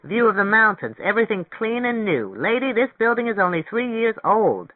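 A narrator voicing a proud, brisk rental agent in an audiobook, making an insistent sales pitch. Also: very animated, dry recording. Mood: offended, insistent. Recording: thin, phone-like band-limited audio.

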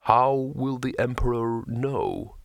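A man voicing a huge sea troll in an audiobook story, speaking slowly and heavily, weary and menacing. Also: mid-range voice, creaky, dry recording, clean studio sound. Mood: calm menace. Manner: slow, deliberate, heavy delivery.

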